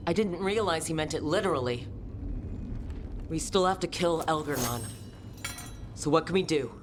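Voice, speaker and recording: Game heroine: low register, determined resistance leader, plain-spoken, noticeable ambient noise. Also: female voice, expressive, moderate pace. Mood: frustrated. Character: determined woman hero leading a fantasy resistance. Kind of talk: dramatic game cutscene acting. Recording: clear ambient noise behind the voice.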